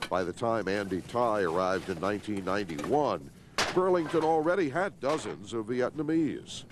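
Lively older male news correspondent. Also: on the low side, moderate pace, noisy background. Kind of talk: scripted news report narration.

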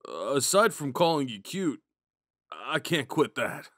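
Man acting a slow-talking drunk himbo jock. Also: medium pitch, big pitch swings, fairly bright tone, dry recording, studio quality. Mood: flirty, concerned. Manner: drunken, halting, goofy, rambling. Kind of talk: ASMR roleplay acting, speaking to the listener.

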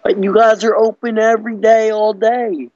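Whiny, polite schoolboy, acted by a young man, high voice, pleading and hesitant, prank-call style, slightly dull sound. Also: flat delivery, normal speed, darkish tone, a little hiss or hum, lightly acted. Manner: pleading, hesitant, childlike phrasing. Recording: slightly muffled computer call audio.

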